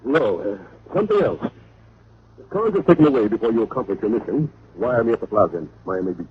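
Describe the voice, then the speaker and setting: A man's voice: on the low side, animated, fast talker, lightly acted. An actor playing a formal, guarded, slightly hesitant businessman client in an old radio detective drama. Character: businesslike, guarded male insurance executive hiring a detective. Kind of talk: 1940s-50s radio drama acting. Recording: muffled, hissy vintage radio recording.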